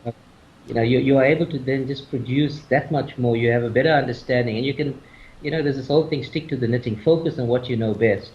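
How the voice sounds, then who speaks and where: A businessman speaks casually in a radio interview, restarting phrases as he thinks. His mid-pitched voice comes through a dull, lo-fi muffled line. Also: fast. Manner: casual, repeats himself. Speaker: business guest.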